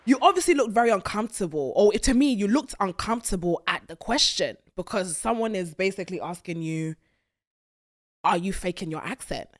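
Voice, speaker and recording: A woman's voice: mid-range voice, animated, fairly quick. A woman from the UK hosting a casual interview, candid and teasing. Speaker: podcast host.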